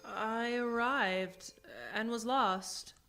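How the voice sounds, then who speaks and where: Slow, bewildered exile. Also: female voice, fairly high pitch, fairly bright tone, a little hiss or hum, full character voice. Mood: confused, defensive. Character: earnest, bewildered female exile, free-thinking and guarded. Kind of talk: science fiction radio drama acting.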